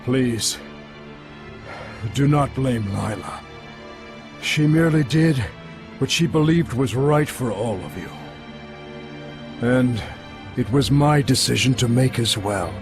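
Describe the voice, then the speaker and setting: Slow, solemn old warrior. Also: male voice, mid-range voice, expressive, music in the background. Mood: gentle, solemn. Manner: slow, grave, deliberate. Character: solemn old warrior mentor, male, noble and stoic. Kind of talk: dramatic fantasy game voice acting. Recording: loud orchestral game score behind.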